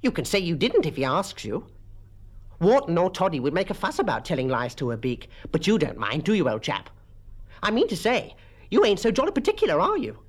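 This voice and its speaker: A plump, dim British schoolboy out of a storybook. The voice is squeaky and leaps up and down. He wheedles and blusters like a boastful coward. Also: wildly swooping pitch, a little fast, heavily acted. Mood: wheedling, sly. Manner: wheedling, blustering, stammering when cornered. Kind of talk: character voice in a storybook reading.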